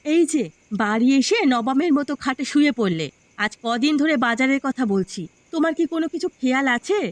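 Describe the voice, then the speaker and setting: A woman voicing a resentful, sarcastic young village wife in a cartoon, with a sharp scolding manner. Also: high voice, animated, a little fast, no room sound. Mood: angry, accusing. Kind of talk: scripted cartoon dialogue. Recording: loud music behind the voice.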